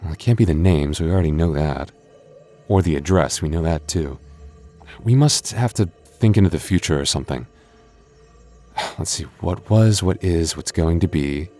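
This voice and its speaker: Deep-voiced stammering boyfriend roleplay man. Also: moderate pace, dark tone, soft background music. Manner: stammering, nervous restarts; playful, teasing.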